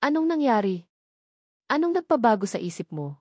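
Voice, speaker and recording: A woman's voice: a little high, sing-song, normal speed, darkish tone, slightly rough, no echo, clean studio sound. Sugary, scheming female rival, read by a narrator. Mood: falsely sweet. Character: scheming, possessive female rival feigning sweet sympathy.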